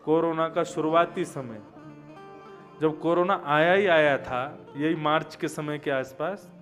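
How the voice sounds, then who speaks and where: A young man giving a devotional sermon, telling stories and chanting verses, quiet one moment and loud and emphatic the next. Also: fairly high pitch, takes it slowly, faint music behind. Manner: storytelling, swings from quiet to loud emphatic exclamations. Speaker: Bhagavat katha preacher.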